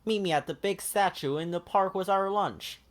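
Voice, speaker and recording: Man acting excitable storybook buddy, male, impulsive, eager exclamations, raised pitch. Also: normal speed, close to an everyday voice. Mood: eager, cheerful. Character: excitable, impulsive young male friend, cheerful then cross.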